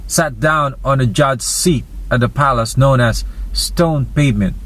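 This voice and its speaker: A man narrating a dramatized Bible reading, deliberate and phrase by phrase. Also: medium pitch, fairly bright tone. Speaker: scripture narrator.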